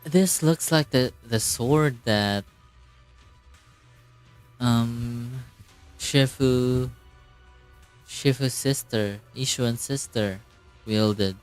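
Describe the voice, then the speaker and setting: Man, casual game streamer, exclamatory reactions, thinking aloud, medium pitch, faint game music behind. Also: drawn-out words, long pauses, clear, resonant tone. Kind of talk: casual live game commentary, reading dialogue aloud.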